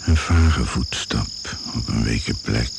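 An actor as the grave narrator of a radio mystery, reading in a measured, poetic, suspenseful manner. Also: male voice, a bass voice, gravelly, exaggerated voice. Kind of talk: scripted radio play narration. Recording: noisy old radio play recording.

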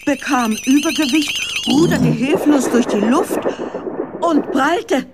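A woman narrating a children's story in a dramatic, expressive scripted style. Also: sits high, takes it slowly, heavy background noise.

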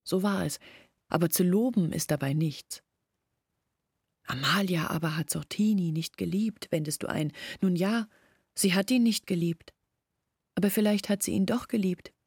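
An actress playing an earnest, bitter village woman in a German radio drama, reasoning insistently and intimately in a husky, creaky voice. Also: fairly low pitch, moderate pace, pauses often, darkish tone, dry recording, studio quality. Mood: earnest, persuasive.

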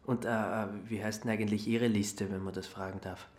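A man acting as an awkward, quietly nosy sleuth with an Austrian accent and hesitant, sheepish speech in a radio drama. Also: on the low side, little pitch movement, fairly quick, natural voice. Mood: feigned innocence. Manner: hesitant, sheepish, falsely innocent.

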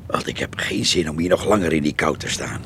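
A man's voice: very deep voice, fairly quick, fairly bright tone, in a whisper. An actor playing a chatty, nosy old village man who rambles and grumbles in a folksy way in a radio drama. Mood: grumbling, musing. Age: older. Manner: rambling, muttering, folksy talk.

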